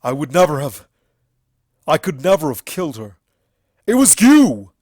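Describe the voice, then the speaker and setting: A narrator voicing an angry, deluded male alicorn stallion in a story reading, shouting and incredulous. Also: medium pitch, big pitch swings, moderate pace, dry recording, studio quality, voice pushed up. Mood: defiant fury.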